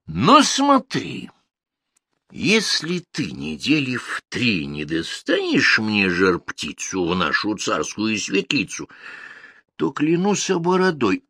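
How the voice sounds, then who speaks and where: Man doing a fairy-tale tsar, old, bearded, furious, yelling high, voice leaping all over, dry close sound. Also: creaky, husky, dry recording, exaggerated voice. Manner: shouting, threatening.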